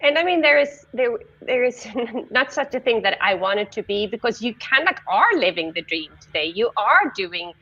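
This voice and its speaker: Swedish lady hosting a live video chat, bright tense voice, dull lo-fi audio. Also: fairly high pitch, very animated, normal speed, hardly any pauses, husky. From Sweden. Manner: chatty, laughs often. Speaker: host. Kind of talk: casual live-stream chat.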